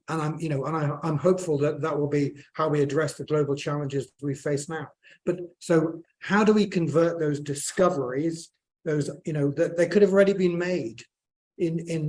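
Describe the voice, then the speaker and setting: Croaky-voiced man, hesitant speech. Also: a little high, darkish tone. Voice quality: hesitant, many fillers and restarts. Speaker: panel speaker, plant biotechnologist. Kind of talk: unscripted panel remarks.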